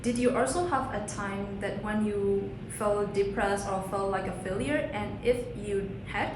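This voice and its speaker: A woman's voice: medium pitch, a little slow. A young adult woman, a student, asking interview questions and giving frequent murmured agreement. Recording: echoey room with background noise.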